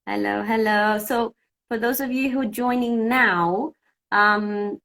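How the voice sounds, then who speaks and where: A woman's voice: mid-range voice, unhurried, vocal fry, a bit bright. A woman from Cyprus whose first language is Greek, an English teacher hosting a casual live-stream chat and thinking aloud as she sorts out technical trouble. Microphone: phone.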